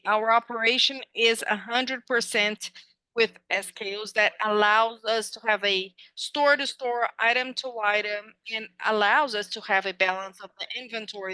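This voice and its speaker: This is a woman with a bright, forceful voice, clean and crisp in tone. She sits slightly high in pitch and interprets a corporate presentation live, in halting chunks, one phrase after another. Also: no echo, rough recording. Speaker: interpreter on an earnings call.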